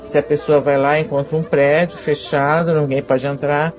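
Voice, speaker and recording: A man's voice: a little high, flat delivery, moderate pace. A Brazilian man giving an informal spoken explanation in plain conversational sentences. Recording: music clearly behind the voice.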